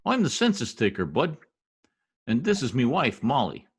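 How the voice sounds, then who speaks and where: A man's voice: mid-range voice, huge pitch swings, moderate pace, heavy background noise. A man playing a brash, folksy census taker in old-time radio comedy style, slangy, blustering and easily exasperated. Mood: breezy, self-important.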